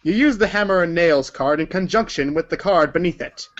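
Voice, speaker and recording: A man with a fairly high voice narrates a scripted story in the second person. His delivery is theatrical and emphatic, like a read-along book, and the recording is slightly dull. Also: a little fast.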